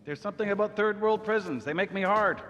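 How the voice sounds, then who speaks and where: A man's voice: high-pitched, quick pace, fairly bright tone. An actor playing a crass, gleefully sadistic businessman in a video game, brash, vulgar and gloating. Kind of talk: cinematic video game acting. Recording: reverberant space, faint noise and music.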